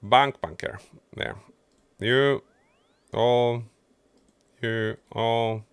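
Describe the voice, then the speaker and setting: Slow-talking man streaming a game. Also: medium pitch, steady tone, smooth, dry recording. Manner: muttering running commentary to himself while playing. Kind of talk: casual livestream gameplay commentary.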